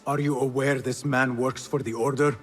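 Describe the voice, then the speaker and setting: An actor playing a determined young male assassin in a video game, serious, measured and probing. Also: medium pitch, flat delivery, faint music behind, close to an everyday voice. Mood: stern, serious. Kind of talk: dramatic game cutscene acting.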